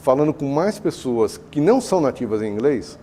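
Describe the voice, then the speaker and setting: A Brazilian man, an education consultant, gives animated, chatty answers in a TV studio interview and acts out talks with clients. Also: medium pitch, brisk, slight background noise.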